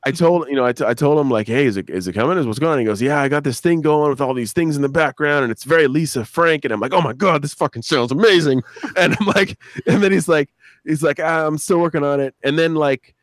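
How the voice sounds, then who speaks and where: A man's voice: a little high, fast talker, no room sound, studio quality. A man, a show creator and guest, chatting casually on a live stream, telling rambling, profane anecdotes with quoted dialogue.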